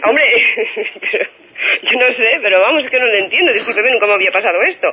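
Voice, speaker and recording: A woman's voice: a little high, animated, quick pace, slightly rough. A woman with a Spanish accent from Spain, a patient, apologetic taxi dispatcher speaking on a telephone. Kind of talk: phone customer service. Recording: noisy dull telephone line.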